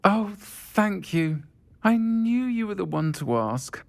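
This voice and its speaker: Creaky-voiced, kindly British witch landlady. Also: mid-range voice, very animated, takes it slowly, exaggerated voice. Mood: fond, pleased. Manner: gentle, conversational, fond. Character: warm middle-aged witch pub landlady, wistful, kindly. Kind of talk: video game cutscene dialogue.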